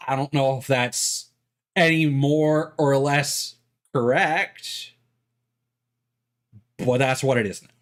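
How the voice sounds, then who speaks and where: Male streamer, unhurried, filler-heavy casual commentary at a desk mic. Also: medium pitch. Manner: rambling, many fillers.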